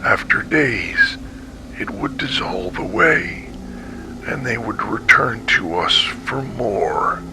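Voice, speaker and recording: An actor playing a traumatized, weakened male survivor giving halting, breathy testimony in an analog horror story. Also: lowish voice, sing-song, background noise, heavily acted. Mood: haunted, grim. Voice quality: breathy, whispery. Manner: slow, halting, haunted. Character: traumatized male survivor of a monstrous captivity, haunted and weak.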